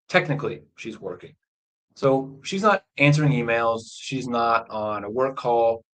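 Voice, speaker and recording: Man telling a story slowly to learners. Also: mid-range voice, rough recording. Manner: slow, clear explanations of key words. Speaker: English teacher. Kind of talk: slow storytelling lesson for learners. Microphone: livestream microphone.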